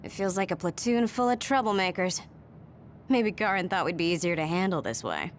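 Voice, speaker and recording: A woman's voice: on the low side, lively intonation, fairly quick, slight background noise, close to an everyday voice. An actress playing a cool, wry, battle-minded woman soldier in a video game. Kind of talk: English video game voice acting.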